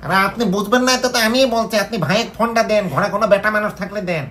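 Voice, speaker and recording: A man's voice: high-pitched, rapid-fire, fairly bright tone. A man with a Bangladeshi accent playing a pushy, impatient coconut seller and delivery guy on a prank call, nagging and mock-indignant. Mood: bossy, insistent.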